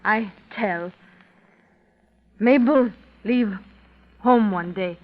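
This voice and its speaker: An actress playing a stubborn, solemn mother on the witness stand, speaking terse, halting broken English in an old radio drama. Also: medium pitch. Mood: stolid, insistent. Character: stubborn, solemn Native woman, mother giving testimony. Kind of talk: 1930s radio drama acting. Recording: dull old radio recording.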